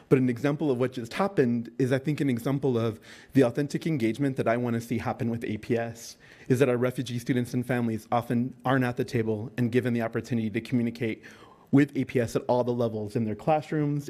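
A man's voice: mid-range voice, darkish tone. A middle-aged man speaks earnestly and off the cuff at a podium microphone, with fillers along the way. He is the director of a nonprofit, addressing a board in a large council chamber. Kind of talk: impromptu public comment.

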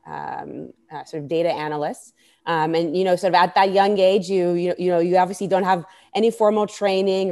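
Low-pitched woman, hesitant interview guest. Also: a little mellow, smooth. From Israel. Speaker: interview guest, startup founder. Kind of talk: conversational interview answers. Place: indoor room, video call. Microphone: earphone mic on video call.

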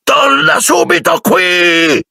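A man's voice: lowish voice, wildly swooping pitch, unhurried, creaky, fairly bright tone, breathy edge, no echo, studio quality, voice pushed up, heavily acted. A man voicing a bad-tempered, pompous middle-aged uncle who hisses and blusters in an audiobook. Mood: shouting. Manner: hissing and blustering.

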